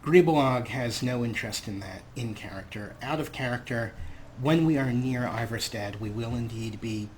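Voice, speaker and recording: A man's voice: mid-range voice, normal speed, a little hiss or hum. A man giving casual, explanatory let's play commentary in a chatty manner as the host of a gaming video.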